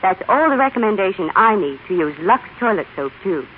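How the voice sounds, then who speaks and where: An actress playing a charming, confident young fashion editor, polished and persuasive, in an old radio advertisement. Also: female voice, mid-range voice, sing-song, brisk, natural voice. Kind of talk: scripted 1930s radio advertisement. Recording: tinny, hissy old radio recording.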